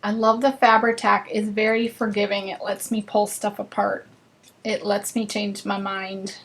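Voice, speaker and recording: A woman's voice: mid-range voice, flat delivery, measured pace, fairly bright tone. Chatty woman hosting a craft class. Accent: northern US. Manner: chatty and bubbly, chatting with viewers while working. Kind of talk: live crafting demonstration.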